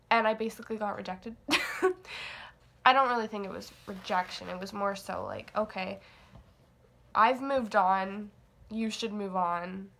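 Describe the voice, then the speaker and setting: A woman's voice: on the high side, vocal fry, a bit bright. A nineteen-year-old woman vlogging casually, rambling and hesitant, with lots of fillers and self-deprecating asides. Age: young adult. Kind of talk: casual confessional vlog.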